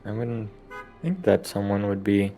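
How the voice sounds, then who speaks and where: A man's voice: on the low side, lively intonation, unhurried, music in the background. A man playing a tabletop game, improvising casually in a hesitant, mild-mannered way. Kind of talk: casual improvised role-play chat.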